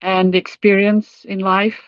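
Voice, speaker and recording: An older woman from Portugal chats as a guest on a video call. She talks quickly, her words tumbling and restarting. Her mid-pitched voice comes through dry and slightly muffled. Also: little pitch movement, no room sound. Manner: speedy talker, stumbles and restarts.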